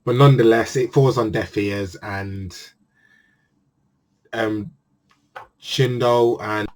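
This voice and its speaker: A man's voice: on the low side, steady tone, takes it slowly, a little mellow. A man hosting his own channel, reviewing a manga chapter in a chatty, earnest way and often restarting his phrases. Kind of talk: casual spoken review monologue.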